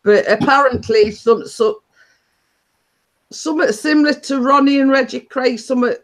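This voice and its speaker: A woman's voice: high voice, normal speed, rough recording. A middle-aged woman, hosting a casual chat and telling a rambling story with frequent chuckles. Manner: rambling, chuckling, dialect "were" and "me day". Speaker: host.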